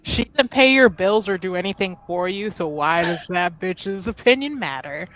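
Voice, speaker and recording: A woman roleplaying in a tabletop game, speaking earnestly and deliberately, with narrated asides. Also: lowish voice, lively intonation, fairly bright tone, slight background noise, poor audio.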